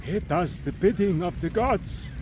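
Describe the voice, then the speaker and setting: A man voicing an excitable old townsman in a video game, exclaiming as if alarmed, over loud outdoor ambience. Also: high-pitched, unhurried, background noise. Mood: earnest, shaken. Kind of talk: dramatic game cutscene acting.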